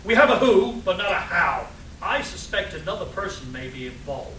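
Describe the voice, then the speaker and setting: A man's voice: high voice, very animated, normal speed, sharp tone, full character voice. A man playing a pompous superhero in an echoey hall. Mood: urgent, heroic. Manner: pompous, declamatory. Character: pompous, over-earnest eco-crusading male superhero. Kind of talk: comic superhero radio play performed live. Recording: echoey hall, distant mic, audience noise.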